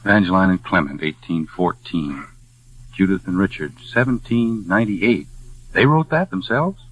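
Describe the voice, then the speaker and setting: An actor in a 1940s radio drama playing a charming, confident, playful young fiancé. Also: male voice, sits low, moderate pace, a bit bright, no room sound. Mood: curious, amused. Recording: muffled vintage 1940s radio recording.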